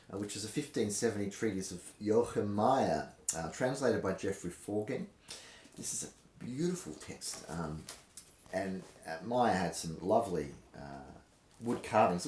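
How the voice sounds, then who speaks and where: Theatrical man with a very animated delivery. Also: fairly low pitch, a little hiss or hum. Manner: theatrical, emphatic delivery with dramatic pauses. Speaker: host reading aloud from a historical treatise. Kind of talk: casual intro, then dramatic reading of an old fencing manual. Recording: roomy, echoing indoor sound.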